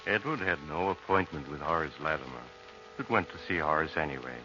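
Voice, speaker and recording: An actor playing the ominous narrator of a 1940s radio horror tale, measured and theatrical. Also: male voice, very deep voice, music in the background. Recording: dull, noisy old radio recording.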